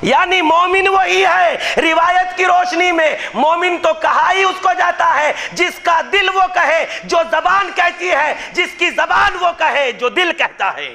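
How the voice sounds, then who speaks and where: A man preaching a majlis, his voice very high and strikingly bright. He declaims slowly and forcefully, shouting many of his phrases in impassioned oratory. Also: creaky. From Gujarat, India. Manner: impassioned, declaiming, often shouting rhetorical lines. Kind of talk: Muharram majlis sermon oratory. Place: religious gathering hall.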